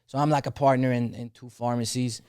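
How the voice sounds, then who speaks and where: A man's voice: medium pitch, steady tone, fairly quick, a little mellow, clear, resonant tone, dry recording, clean studio sound. A young man from the Netherlands, a rapper talking casually as a podcast guest, loose and peppered with "you know what I'm saying.". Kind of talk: casual interview conversation.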